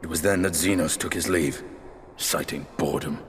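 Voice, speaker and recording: An actor playing an aloof, gruff lancer in a fantasy game cutscene, speaking tersely in formal, archaic phrasing. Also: male voice, a bass voice, steady tone, moderate pace, slight background noise. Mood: grave, matter-of-fact. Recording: long reverb, music behind.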